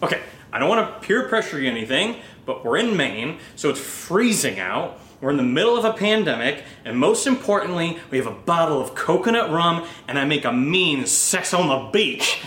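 A man's voice: a little high, big pitch swings, moderate pace, few pauses, a bit bright, echoey room. A man acting a brash, upbeat, sweary young roommate in a naturalistic audio drama, breezy and cajoling. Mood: excited, cajoling.

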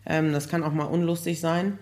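Hoarse woman on a podcast, chatty, lots of ums, laughs a lot. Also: low voice, fairly even intonation, moderate pace, a little mellow. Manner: chatty, laughs often, many fillers. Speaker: guest, building project manager. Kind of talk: casual podcast chat.

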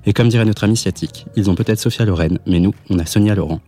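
A man's voice: fairly low pitch, fast, mellow. A man around thirty hosting a podcast interview in a casual, conversational way. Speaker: podcast host.

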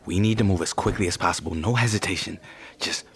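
An actor playing a wry, pragmatic partner in an audio drama, with a dry, brisk way of talking. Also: low voice, slight background noise. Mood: urgent. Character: wry, pragmatic partner, supportive but quick to bolt. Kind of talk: audio fiction acting.

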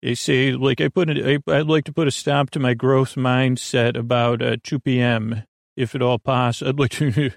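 Creaky-voiced man rambling soothingly. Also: mid-range voice, no room sound, studio quality. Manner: meandering, hesitant, self-interrupting asides. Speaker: podcast host. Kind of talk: rambling, soothing bedtime monologue.

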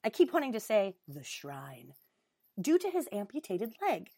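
A woman hosting a podcast, reading a listener's email aloud with deliberate emphasis. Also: mid-range voice, huge pitch swings, mellow, no echo, studio quality. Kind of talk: dramatic reading of a fan email.

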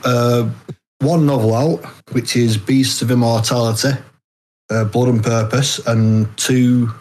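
A man from the north of England with a northern English accent, answering interview questions casually and haltingly as a guest. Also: medium pitch, a little mellow, poor audio. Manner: hesitant, halting.

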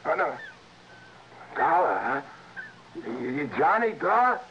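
An actor playing a stubborn, rattled small-time ex-convict in a 1960s radio drama, defensive and stammering, with rough streetwise grammar. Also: male voice, on the high side, sing-song, slow, pushed and bright, full character voice. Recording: dull, noisy vintage radio recording.

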